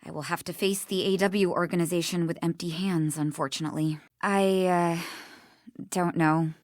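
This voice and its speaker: A woman's voice: lowish voice, steady tone, moderate pace, no echo, studio quality, close to an everyday voice. A woman playing a sincere, remorseful young woman in a video game, speaking in an earnest, conversational way.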